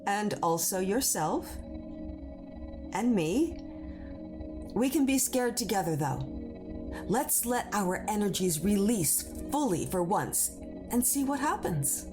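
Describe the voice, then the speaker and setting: A woman's voice: mid-range voice, big pitch swings, slow pace, long pauses. A woman playing a powerful, devoted moon being, earnest and persuasive, in an audio drama. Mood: coaxing. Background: music under the voice.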